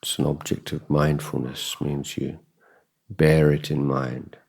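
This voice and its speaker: A man giving a guided meditation talk as a Buddhist teacher, contemplative and measured, pausing often between short phrases. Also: extremely low pitch, level tone, creaky, a little mellow, breathy edge.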